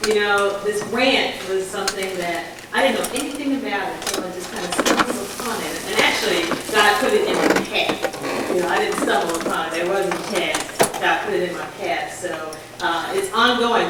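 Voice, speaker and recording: A woman researcher giving a conversational public lecture, with a voice she calls booming. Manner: conversational, faith-infused, says she has a booming voice. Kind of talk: lecture with slides. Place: large echoey community room. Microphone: handheld or stand mic, moving off it at times. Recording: distant, echoey, hissy room recording.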